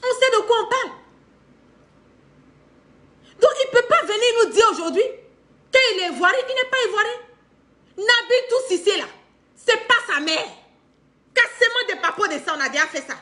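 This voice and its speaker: Very high-pitched Ivorian woman, emphatic. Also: moderate pace, long pauses. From Ivory Coast. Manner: emphatic, repeats phrases for stress, animated with hand gestures. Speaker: political commentator on a live stream. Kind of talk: impassioned, unscripted monologue to camera. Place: indoor living room.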